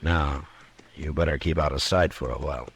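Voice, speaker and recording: An actor playing a steady, authoritative Old West marshal with an American accent and terse, measured delivery in a radio western. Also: male voice, rumbling low. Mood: calm, probing. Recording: noisy vintage radio drama recording.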